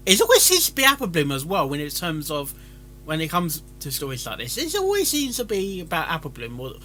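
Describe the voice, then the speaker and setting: A man giving casual, rambling commentary, haltingly repeating and restarting his phrases. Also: on the high side, pushed and bright, low-quality recording. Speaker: reaction video host reviewing fan fiction.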